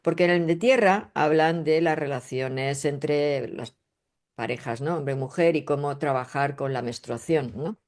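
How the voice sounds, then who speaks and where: Low-voiced, emphatic woman from Spain explaining. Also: unhurried, a little mellow. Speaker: guest, astrologer and spiritual researcher. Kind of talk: conversational interview explanation.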